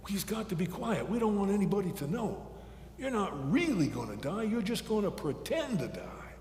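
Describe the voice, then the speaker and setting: A man's voice: sits high, expressive, a little fast, slight background noise. A man voicing a scheming folktale rabbit who talks in a breezy, boastful, conspiratorial and folksy way. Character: cocky, scheming male rabbit, self-styled master planner. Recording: echoey hall with long reverb.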